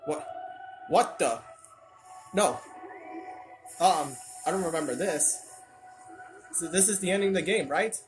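A person voicing an excitable young turtle plush reacting to a video in halting, repeated exclamations. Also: medium pitch, close to an everyday voice, rough recording. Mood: concerned, surprised. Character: young male Bowser Junior plush reacting, excitable, earnest. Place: indoor room at home. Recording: music from laptop behind the voice.

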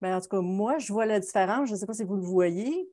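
A woman's voice: mid-range voice, animated, quick pace, dark tone. Middle-aged female art instructor, Quebec French accent, conversational live demo on a video call. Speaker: watercolour painting instructor. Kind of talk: live painting demonstration, informal explaining. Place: home studio, indoors.

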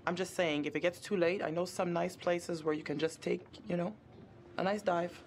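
Female game character, actress as practical dive-boat captain, wary and frank, mid pitch, faint ambient noise. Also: steady tone, moderate pace, darkish tone, close to an everyday voice. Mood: sceptical. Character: wary, practical young woman boat skipper. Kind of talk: video game cutscene acting.

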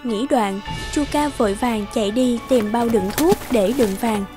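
A woman with a fairly high voice narrates a children's fairy tale. Her delivery is scripted and measured, in storyteller style, with music clearly playing behind her. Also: mellow.

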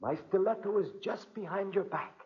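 An actor playing a menacing fugitive uncle, hushed and threatening, in a 1940s radio drama. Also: male voice, high voice, moderate pace, close to an everyday voice. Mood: quietly threatening. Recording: dull 1940s radio recording.

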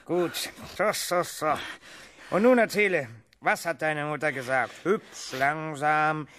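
A man's voice: fairly high pitch, takes it slowly, a bit bright. An actor playing a self-important, fatherly village policeman in a children's radio play, ponderous and repeating himself. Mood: ponderous, patient. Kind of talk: 1970s German children's radio play acting.

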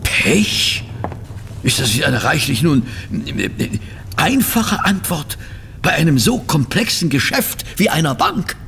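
A man playing a fussy, inquisitive gentleman in a German radio play, with a husky, creaky voice. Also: a little high, sing-song, takes it slowly, a little hiss or hum, full character voice. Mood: probing. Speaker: actor in an audio drama.

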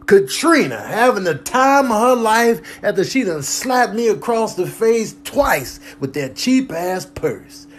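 A man's voice: sits high, very animated, slow pace, few pauses, heavily acted. A man doing comedic voiceovers as indignant, sassy talking house pets, trading slangy, exasperated trash talk.

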